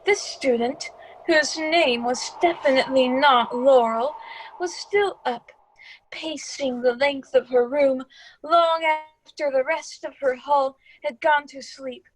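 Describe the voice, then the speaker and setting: A woman's voice: high-pitched, takes it slowly, a little hiss or hum, poor audio. A woman playing the wry, ominous narrator of a radio drama, telling the story theatrically.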